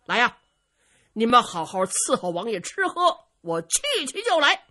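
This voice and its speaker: Storytelling role: hot-headed general, played by a woman, forceful, defiant, emphatic, with wide swings in pitch. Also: big pitch swings, measured pace, exaggerated voice. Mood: resolute. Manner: loud, emphatic, challenging. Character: fierce, hot-tempered, defiant male warrior general.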